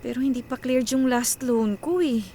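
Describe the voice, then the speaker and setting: A woman's voice: a little high, normal speed, mellow, a little hiss or hum, natural voice. A woman playing an anxious, self-sacrificing young breadwinner in a radio drama, with a Filipino accent. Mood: stressed, worried. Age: young adult. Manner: talks to herself, code-switches into English.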